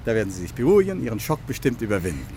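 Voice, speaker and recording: An actor in a German radio drama playing a solicitous gentleman motorist, courteous, coaxing and insistent. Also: lowish voice, big pitch swings, very fast. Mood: soothing, insistent. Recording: street traffic noise behind the voice. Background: car and street sounds.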